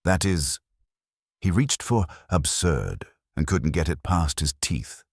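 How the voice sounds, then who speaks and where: A man's voice: deep voice, animated, slow pace, no room sound, clean studio sound. A man narrating a first-person detective mystery story from a script. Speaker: audiobook narrator.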